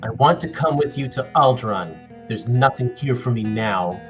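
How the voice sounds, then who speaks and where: A man's voice: medium pitch, lively intonation, normal speed, lightly acted. A man playing an earnest, impulsive young farm boy hero in an amateur table read. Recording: dull recording with music behind.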